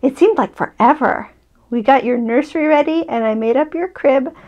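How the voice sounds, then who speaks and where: A woman voicing a gentle, loving mother polar bear as she reads a storybook aloud tenderly. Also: high voice, moderate pace, lo-fi sound, lightly acted.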